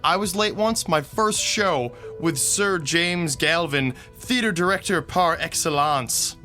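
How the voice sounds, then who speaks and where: A practical theater crewman in an audio drama, wry and teasing. His voice is bright and forward, with faint music underneath. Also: a little high, slow.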